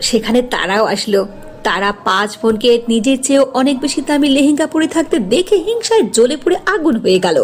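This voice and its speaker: High-pitched, animated woman narrator. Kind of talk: dramatic scripted story narration.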